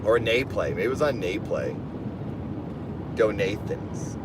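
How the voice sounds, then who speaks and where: A man's voice: on the low side, big pitch swings, slow pace. A man with a lisp chatting casually on a livestream from his car while driving, rambling and reading out donations. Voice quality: lisp on S sounds. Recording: car road noise behind.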